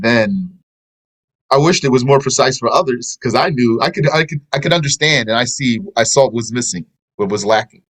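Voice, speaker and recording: A man's voice: medium pitch, fairly bright tone, poor audio. Guy teaching a religious class, normal mid voice, reads a bit then explains, drops in arabic words. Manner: explanatory, reads a passage then comments, mixes in Arabic terms. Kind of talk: religious lesson, commenting on a translated text.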